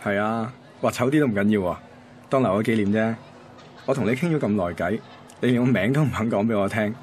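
A man's voice: lowish voice, fast, slight background noise, natural voice. An actor playing an easygoing, reflective young man from a radio drama, with a Hong Kong accent. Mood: easygoing, coaxing. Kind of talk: radio drama dialogue and narration.

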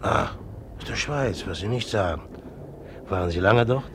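An actor playing a haughty, inquisitive and impatient gentleman traveller in a German radio drama, curt and condescending. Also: lowish voice, expressive, moderate pace, lightly acted. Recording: noisy radio play recording.

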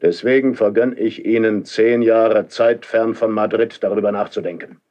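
A man's voice: on the low side, steady tone, normal speed, dark tone, natural voice. An actor playing a jealous, imperious older king, cold and commanding, in a classical radio drama. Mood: icy. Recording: dull 1970s radio recording.

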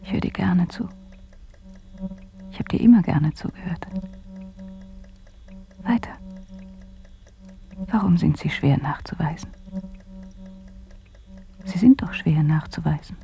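An actress playing a bedridden, dreamy woman in a radio drama, with a hushed, breathy and halting delivery. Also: on the low side, lively intonation, faint music behind, full character voice. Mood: intense, intimate. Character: bedridden dreamy woman describing visions, whispering tenderly to Phil.

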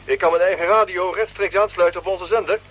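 A man acting an eager, excitable spaceship radio operator in an old radio drama. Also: sits high, fast talker, slightly rough. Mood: eager, alert. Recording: muffled, noisy vintage radio recording.

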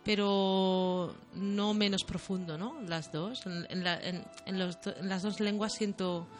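A woman singer talks softly and thoughtfully in a relaxed radio interview. She picks her words slowly, trailing off and restarting as she explains how she feels about singing. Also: medium pitch, soft background music. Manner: thoughtful, searching for words, soft.

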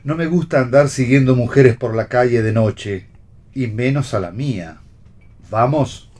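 A man plays a stern Argentine husband in a radio play, proud and curt, handing out maxims. His pitch is medium. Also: measured pace, darkish tone, close to an everyday voice. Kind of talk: read theatre for radio.

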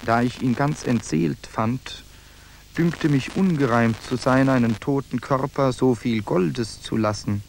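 A man's voice: mid-range voice, unhurried, gravelly, a little hiss or hum, poor audio. An actor in a German radio drama playing a hardened soldier who narrates his own tale in archaic, clipped diction. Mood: grim, vivid.

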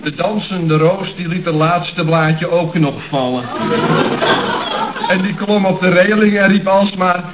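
A bumbling male employee in an old radio comedy, breezily making feeble excuses in a lightly high voice. The sound is dull and buried in heavy noise. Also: a little slow. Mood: casual. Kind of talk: 1970s Dutch radio comedy acting. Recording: very noisy, muffled old radio recording.

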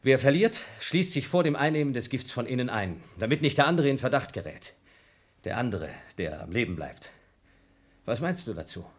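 Actor playing a trapped schemer, persuasive, lively pitch, radio play. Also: male voice, moderate pace, close to an everyday voice. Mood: scheming. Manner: persuasive, then frantic shouting. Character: scheming man trapped in a station, called Blob.

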